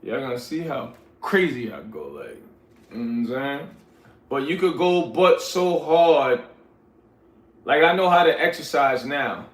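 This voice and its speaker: A man's voice: medium pitch, very animated, unhurried. A man hosting a casual livestream, chatting to viewers in a laid-back, slangy way and calling them "y'all". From Liberia. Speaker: livestream host reading chat. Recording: roomy echoey sound.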